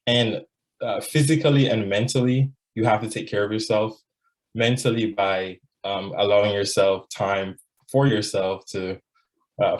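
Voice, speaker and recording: Earnest young man from Jamaica, low voice. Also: low-quality recording. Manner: earnest, reflective, frequent "like" and "um" fillers. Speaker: law student scholar. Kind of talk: prepared personal presentation.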